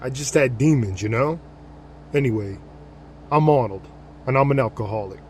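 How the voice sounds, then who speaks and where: Man playing a weary, low-voiced ex-gang father. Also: normal speed, a loud crowd, lightly acted. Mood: weary, confessional. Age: middle-aged. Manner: plain-spoken, rough streetwise working-class speech. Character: weary, remorseful ex-gang-leader father, recovering alcoholic. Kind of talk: audio drama acting, confessional monologue. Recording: dramatic music under the voice. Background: music.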